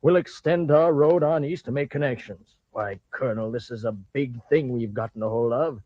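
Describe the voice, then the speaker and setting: A man's voice: medium pitch, moderate pace, no echo, close to an everyday voice. A man playing an ambitious, bold railroad magnate in an old radio drama, speaking with emphatic confidence. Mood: eager, confident. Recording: dull vintage radio recording.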